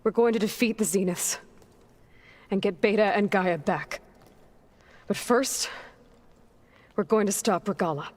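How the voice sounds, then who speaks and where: A woman's voice: mid-range voice, a little slow, pauses often, darkish tone, lightly acted. A woman playing a determined, guarded young warrior who leads through grief in a video game cutscene, earnest and firm. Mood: rallying. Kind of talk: cinematic video game acting.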